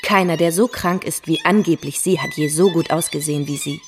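Actress as a brash, chatty masseuse. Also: female voice, fairly low pitch, a little fast, faint music behind, natural voice. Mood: teasing, cheeky. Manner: breezy, teasing, familiar. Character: brash, chatty masseuse, bossy and playfully flirtatious. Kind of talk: radio play acting.

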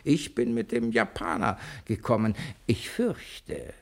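A man's voice: medium pitch, sing-song, vocal fry, heavily acted. An actor playing a cool, self-assured man posing as a private detective in a 1960 German radio play. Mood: confident, conspiratorial. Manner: brisk, polite, self-assured.

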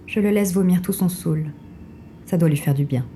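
An actress playing a mysterious resistance agent in a noir audio drama, speaking formally with cool, polite composure and quiet command. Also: female voice, normal speed, noisy background, close to an everyday voice. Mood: cool, composed. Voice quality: low, dark. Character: mysterious female resistance agent guiding the inspector, coolly composed.

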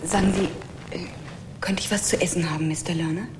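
A woman's voice: lowish voice, fairly even intonation, brisk, background noise, lightly acted. An actress playing a bold, dreamy stowaway woman in a radio play, speaking in a poetic, dramatic and coolly teasing way. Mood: cool, demanding. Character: dreamy, bold female stowaway, theatrically romantic and self-possessed. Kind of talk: German radio play acting.

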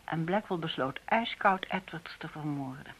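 Husky, inquisitive detective's assistant. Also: female voice, low voice, expressive, moderate pace, fairly bright tone, heavily acted, rough recording. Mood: curious, dry. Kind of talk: radio play acting.

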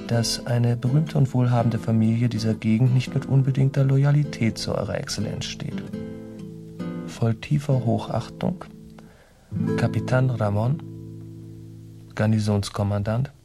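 A man acting a creaky-voiced army captain in a German radio drama, vengeful and scheming. He speaks with stiff formality and a menacing, self-important air, over clearly audible music. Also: mid-range voice, level tone, a little slow, long pauses, natural voice. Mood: stiff, aggrieved.

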